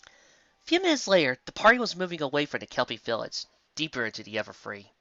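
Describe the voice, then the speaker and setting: Lively, bright-voiced male story narrator. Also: fairly high pitch, fast, no echo, dull audio. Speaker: audiobook reader. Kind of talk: fan fiction audiobook narration.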